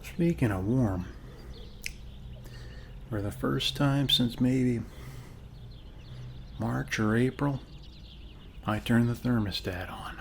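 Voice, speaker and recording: A man speaking softly and unhurriedly in a friendly, casual ASMR roleplay, as a neighbor chatting one-on-one, with frequent pauses. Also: mid-range voice, vocal fry, a little hiss or hum. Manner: soft-spoken, friendly, unhurried, pausing often. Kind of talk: ASMR roleplay, one-sided casual chat over coffee.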